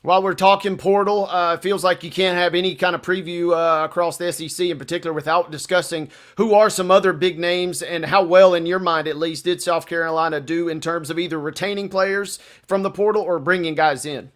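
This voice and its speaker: A man's voice: high voice, fairly even intonation, fairly quick, few pauses, fairly bright tone. A man co-hosting a sports show, asking long, meandering interview questions in a casual style. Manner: wordy, meandering questions with many asides.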